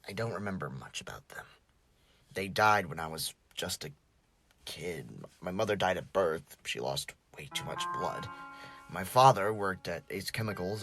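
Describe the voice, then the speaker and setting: A young man playing a troubled, jokey teenage boy in an audio drama, with halting, stammering speech that drops into breathy murmurs. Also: low-pitched. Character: troubled, jokey teenage boy hiding pain behind mischief.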